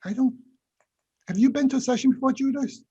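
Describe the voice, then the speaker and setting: A man's voice: very high voice, brisk, dark tone, slightly rough, muffled recording. A man hosting an online finance class, chatty and laughing often, restarting his sentences as he talks with attendees. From Boston area. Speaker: lecturer hosting a finance session. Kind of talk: casual online class chat.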